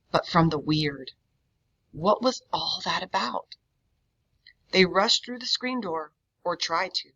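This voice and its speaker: A woman reads a children's story aloud with expression. Her voice sits low but has a bright, forceful edge, and the recording is slightly dull and lo-fi. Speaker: read-aloud reader. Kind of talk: children's book read-aloud.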